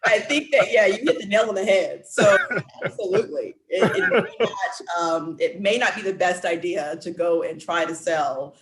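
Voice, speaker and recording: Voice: medium pitch, moderate pace, a crowd in the background. Upbeat speaker in an echoey room. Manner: upbeat, repeats "right?". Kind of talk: explanatory chat. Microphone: video call.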